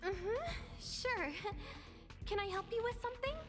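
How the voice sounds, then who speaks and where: A woman's voice: extremely high pitch, animated, a little slow. An actress playing a young female researcher in a game cutscene, reluctant, curt and easily exasperated. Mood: modest, reluctant. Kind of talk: scripted game cutscene acting. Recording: long reverb, music under the voice.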